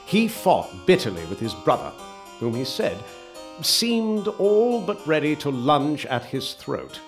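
A polite young war veteran, stammering and courteous, his voice rising and falling in lively swings. Soft music sits faintly under him. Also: a little high, takes it slowly, exaggerated voice. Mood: narrating. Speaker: narrator and lead character. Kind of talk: radio drama acting.

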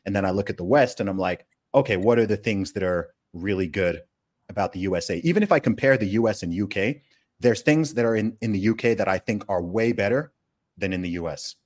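A man with a lowish voice hosts a conversational podcast. He argues his views with emphasis, often repeating a word as he builds a point and tagging it with a quick check for agreement. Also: darkish tone. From born in the UK, grew up in Saudi Arabia. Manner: opinionated, emphatic, repeats words and often tags points with "right?". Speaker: podcast host.

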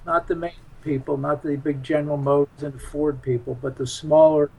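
A man chatting casually as an interview guest, halting often as he searches for words. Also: fairly high pitch, little pitch movement, gravelly, darkish tone, a little hiss or hum, rough recording. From United States. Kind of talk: casual unscripted interview chat.

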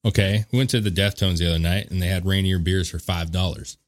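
A man's voice: sits low, steady tone, fast. A man hosting a podcast in loose, laid-back banter, swearing freely and chuckling between remarks. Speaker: podcast host.

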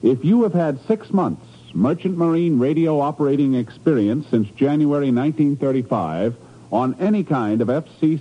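A man announcing in formal, emphatic scripted 1950s radio style. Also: mid-range voice. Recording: muffled old radio broadcast.

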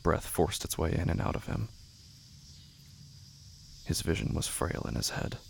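A man giving a scripted, dramatic narration of a horror story in a deliberate, intense manner. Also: extremely low pitch, animated, gravelly, slight background noise. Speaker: narrator of a horror fiction podcast.

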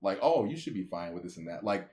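A man's voice: low-pitched, sing-song, quick pace, warm tone. A man in his twenties hosting a podcast, chatting casually and laughing often. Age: 20s. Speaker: podcast host. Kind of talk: casual chat.

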